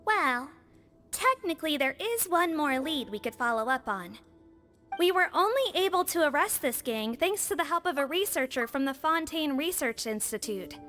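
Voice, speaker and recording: A woman's voice: high voice, animated, moderate pace, pushed and bright. A woman voicing a polite nonhuman female officer who explains things carefully, from a video game. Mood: informative. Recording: game audio with faint music.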